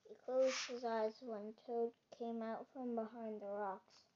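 A child reading a children's storybook aloud in a halting, stumbling way. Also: mid-range voice, steady tone, darkish tone. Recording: dull lo-fi recording.

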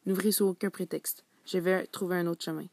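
A woman voicing a hunted young man who murmurs quietly. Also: fairly low pitch, fairly even intonation, a little fast, no echo. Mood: hushed urgent. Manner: hushed murmur.

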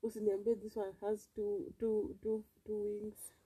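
A woman's voice: medium pitch, little pitch movement, unhurried, mellow, breathy edge, no room sound. A Kenyan woman co-hosting a podcast, chatting casually and bantering. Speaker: podcast co-host. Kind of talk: casual bantering chat, mixing Swahili and English.

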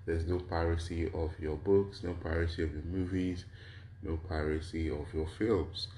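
Low-voiced man lecturing, slightly hoarse. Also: steady tone, normal speed, mellow, slight background noise. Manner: rhetorical questions, repeats lists with "right?". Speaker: lecturer on intellectual property. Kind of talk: explanatory lecture to an audience.

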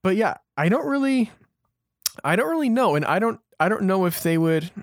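A man hosting a podcast in an informal, somewhat halting way, thinking aloud. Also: sits high, big pitch swings, no echo, studio quality. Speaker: podcast host. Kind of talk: casual chat, hosting listener questions.

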